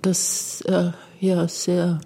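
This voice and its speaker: A woman's voice: lowish voice, monotone, extremely slow, gravelly, dark tone, breathy edge. A woman recalling someone's career as an interviewee. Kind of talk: interview recollection.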